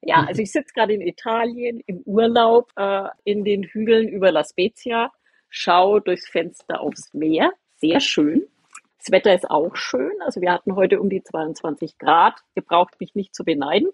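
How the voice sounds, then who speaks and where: A woman's voice: medium pitch, normal speed, husky, dry recording. A middle-aged woman chatting on a podcast panel from an indoor holiday room, friendly and hesitant. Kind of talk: casual remote chat.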